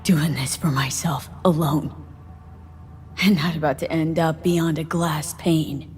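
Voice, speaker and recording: Casting: hard-edged female merc for a game, low register, blunt and defiant, clear music underneath. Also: steady tone, a little slow, darkish tone. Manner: blunt, clipped, sweary slang. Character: tough, defiant, distrustful female street mercenary. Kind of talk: dramatic video game dialogue. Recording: music behind the voice.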